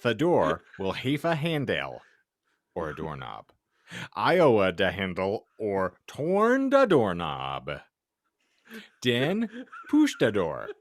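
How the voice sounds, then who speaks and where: A male podcast host giving a theatrical, mock-serious comedic reading of fan fiction with deliberate delivery. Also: lowish voice, wildly swooping pitch, dry recording, studio quality.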